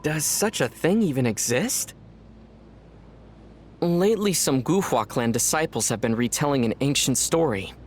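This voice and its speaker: A man plays a young traveler hero from a video game, composed and reflective. He speaks in an even, mid-pitched voice without strain. There is a faint hiss underneath. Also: close to an everyday voice. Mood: calm. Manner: calm, thoughtful, measured.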